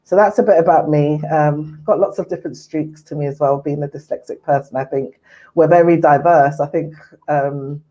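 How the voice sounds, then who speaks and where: Woman, 40s, from Ghana, earnest and unhurried guest, dull lo-fi line. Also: low-pitched, steady tone, mellow. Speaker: mental health services manager, guest. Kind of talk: casual interview chat. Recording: muffled lo-fi audio.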